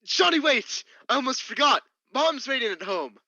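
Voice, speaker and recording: A man's voice: very high voice, lively intonation, normal speed, bright, forceful tone, no room sound, dull audio, full character voice. A man playing a cheery, boisterous long-lost brother in a radio play. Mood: excited.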